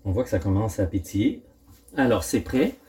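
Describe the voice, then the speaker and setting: Relaxed man cooking in his home kitchen. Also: fairly low pitch. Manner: relaxed, thinking aloud with frequent "euh" pauses. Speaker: home cooking channel host. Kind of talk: casual cooking demonstration.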